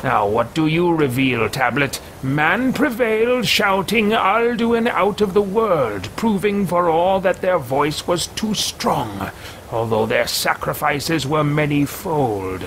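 Male streamer voicing a pompous, self-absorbed mage; drawn-out, lilting delivery, home desk setup. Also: on the high side, lively intonation, background noise. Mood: haughty. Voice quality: pompous, grandiose. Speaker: let's play host roleplaying a character. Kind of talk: in-character roleplay over a video game. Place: room at home, indoors.

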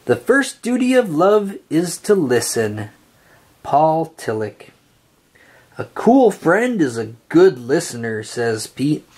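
A storybook reader reading a children's picture book aloud in an expressive, sing-song way with drawn-out phrases. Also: medium pitch, slightly rough.

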